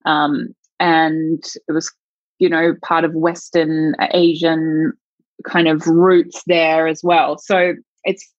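A woman's voice: low voice, flat delivery, slow pace, no echo. A woman speaking as a sexologist guest in an interview, reflective and searching, thinking aloud in long winding sentences. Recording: dull lo-fi dry sound.

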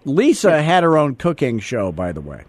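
A man's voice: a little high, huge pitch swings, moderate pace, dry recording. A man hosting a podcast, with brash, teasing banter. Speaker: podcast host. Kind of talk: joking radio-style chat.

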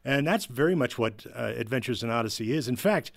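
A man with a medium-pitched voice talks as a guest on a panel. He explains things casually and with enthusiasm. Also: expressive, a little fast, gravelly. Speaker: podcast panelist.

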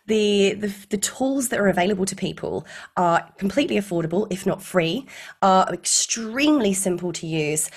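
A woman in her thirties with a croaky voice, speaking as an enthusiastic, encouraging guest in an interview and laughing often. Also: on the low side. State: croaky voice from illness. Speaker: guest expert on online courses. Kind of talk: conversational interview.

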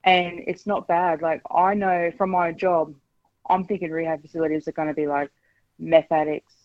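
Hesitant caller on a dull line. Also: female voice, sits low, flat delivery, normal speed, no room sound. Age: 40s. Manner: hesitant, frank, many ums. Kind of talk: candid phone-in chat.

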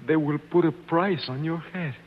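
An actor playing a worried, loyal older family friend in a 1950s radio drama. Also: male voice, on the high side, moderate pace, natural voice. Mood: anxious. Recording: muffled, reverberant old 1950s radio recording.

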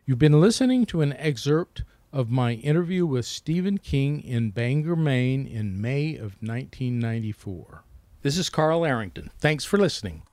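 A man's voice: mid-range voice, very animated, normal speed, darkish tone, no room sound. An American man working as an interviewer, speaking conversationally as a journalist. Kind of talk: interview questions and spoken sign-off.

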